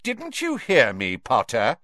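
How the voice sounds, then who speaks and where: A man's voice: mid-range voice, wildly swooping pitch, slow pace, fairly bright tone, dry recording, clean studio sound, pitched above the performer's own voice, full character voice. A man voicing a cold, sneering male schoolteacher with clipped, disdainful speech.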